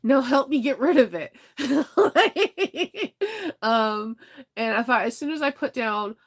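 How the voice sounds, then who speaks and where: A woman's voice: sits high, lively intonation, slow. A woman vlogger chatting casually with viewers, laughing often and sounding stuffed up from congestion. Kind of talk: casual chat.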